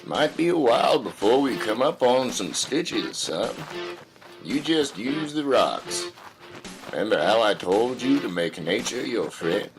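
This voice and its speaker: A man plays a weary, folksy father in an audio drama. He drawls slowly and solemnly, with a lot of melodic movement in the voice, over clear music. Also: medium pitch, big pitch swings. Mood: cautious, reluctant. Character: weary, folksy, cautious father, a poor drifter.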